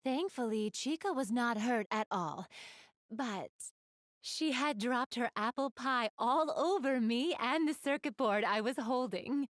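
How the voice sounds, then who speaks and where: A woman's voice: fairly high pitch, measured pace, no room sound, clean studio sound, natural voice. A woman playing an elegant, polite young goddess in a video game, speaking to the listener in formal, ladylike phrases. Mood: amused storytelling. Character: elegant, refined young goddess with gamer enthusiasm. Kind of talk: video game character dialogue, addressing the listener.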